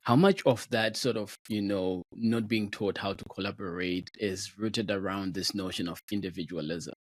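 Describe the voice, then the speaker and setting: A man's voice: on the low side, normal speed, no room sound. A man from Zimbabwe hosting a podcast interview, talking conversationally with lots of affirming backchannels and filler words. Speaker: podcast host.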